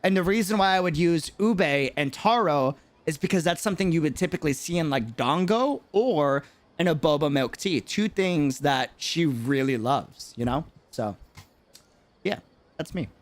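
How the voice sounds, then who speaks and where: Slangy high-voiced man streaming. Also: lively intonation, normal speed. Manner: slangy, musing. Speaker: game streamer. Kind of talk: casual livestream chat.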